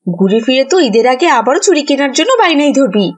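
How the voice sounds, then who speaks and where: Woman as a husky, exasperated village mother. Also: high voice, very animated, quick pace, exaggerated voice. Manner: scolding, exasperated. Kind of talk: cartoon voice acting.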